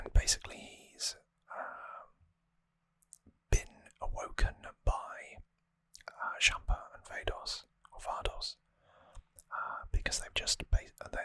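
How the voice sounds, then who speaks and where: An ASMR host whispering breathily and close to the microphone, explaining things softly and informally with some hesitation. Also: unhurried, dry recording. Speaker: host of a trivia ASMR show. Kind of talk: ASMR whispered episode recap.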